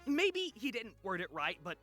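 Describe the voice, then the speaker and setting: A woman voicing an anxious, well-meaning little companion in a visual novel, flustered and gently scolding. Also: a little high, big pitch swings, normal speed. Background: faint music.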